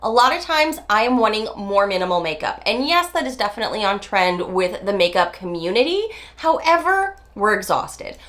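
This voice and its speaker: A woman's voice: medium pitch, sing-song, fairly bright tone. A woman in her forties, nasal from a summer cold, chatting to camera as a beauty vlogger in an emphatic, chatty way. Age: 40s. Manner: chatty, emphatic, drawn-out stresses. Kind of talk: casual talk to camera.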